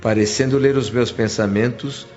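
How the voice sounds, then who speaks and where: A man's voice: mid-range voice, fairly even intonation, normal speed, darkish tone, highs cut off, faint music behind, close to an everyday voice. A man playing a thoughtful, shaken doctor who narrates a radio drama in a solemn, reflective way. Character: shaken, thoughtful male doctor narrating his spiritual journey. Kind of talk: dramatized first-person narration.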